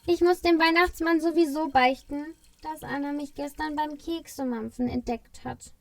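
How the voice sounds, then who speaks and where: A woman's voice: sits high, steady tone, normal speed, creaky, no room sound. A woman playing an earnest, brave male Christmas elf in a children's audio play. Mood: hesitant.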